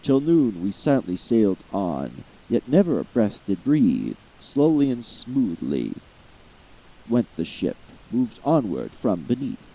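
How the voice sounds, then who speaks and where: A man with a low, husky voice reads old narrative verse slowly and theatrically, his pitch rising and falling widely. The sound is dull and lo-fi, like a home recording. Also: wildly swooping pitch. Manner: slow, theatrical, swelling with emotion at climaxes. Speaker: amateur voice actor reading poetry. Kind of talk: dramatic poetry reading.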